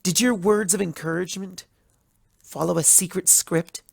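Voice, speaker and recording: A bitter, hurt young pony scholar as a narrator acts her out in a storybook monologue. Her delivery is measured and accusatory, with a creaky edge and a close, dry sound. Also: mid-range voice, fairly even intonation, dry recording. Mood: hurt, accusing. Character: betrayed, bitter young female pony student, intellectual and hurt. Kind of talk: dramatic fan fiction reading as first-person monologue.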